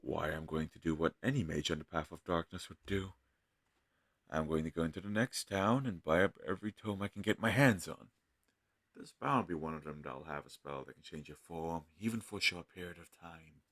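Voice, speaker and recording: A deep-voiced, gentle healer mage from a fantasy audio roleplay, acted by a man. He speaks close and dry, thinking aloud in an earnest, slightly hesitant way to his travel companion. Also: a little fast, no echo. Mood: thoughtful, determined. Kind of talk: scripted fantasy audio roleplay addressed to the listener.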